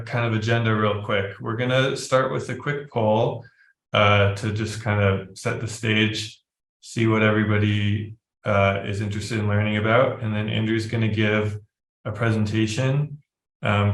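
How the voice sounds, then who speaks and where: A man introducing a guest speaker and going over the agenda of a webinar, relaxed and full of fillers. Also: on the low side, measured pace, roomy sound, rough recording. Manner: relaxed, filler-heavy talk. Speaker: webinar host. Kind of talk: casual introduction.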